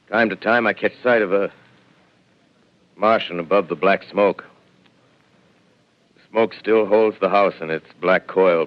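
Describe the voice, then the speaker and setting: An actor playing a shaken lone astronomer surviving in a deserted land, in a hushed, reflective 1930s radio drama style, on a dull lo-fi old broadcast. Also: male voice, low-pitched.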